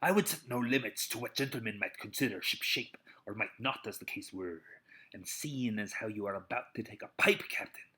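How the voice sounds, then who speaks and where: Swaggering, growling old pirate. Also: male voice, lowish voice, brisk, sharp tone. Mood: sly, bargaining. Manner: rough sailor talk, swaggering and threatening. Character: crafty, bullying old sea pirate with a crutch.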